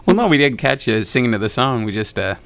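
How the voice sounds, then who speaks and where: A man's voice: mid-range voice, sing-song, quick pace, clean tone. A young man co-hosting a radio show, joking in a wry way and fussy about grammar. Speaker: radio co-host. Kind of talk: casual radio chat. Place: small radio studio.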